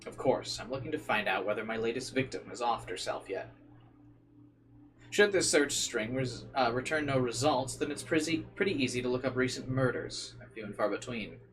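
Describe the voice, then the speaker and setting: A man's voice: medium pitch, low-quality recording. Man reading a visual novel over music. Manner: informal asides, occasional stumbles while reading. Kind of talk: casual let's play, reading narration aloud with side comments.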